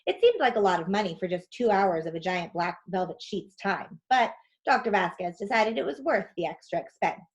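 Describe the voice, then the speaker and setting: Lady doing a kids book read aloud, expressive narration, medium pitch. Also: a little fast, muffled recording. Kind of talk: expressive children's storybook narration.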